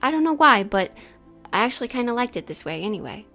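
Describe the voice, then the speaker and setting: A woman's voice: fairly high pitch, sing-song, fast talker, pushed and bright, faint music behind. A woman hosting a sewing vlog in a chatty, jokey, self-deprecating way, moving between casual asides and scripted narration. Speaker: sewing vlogger and host.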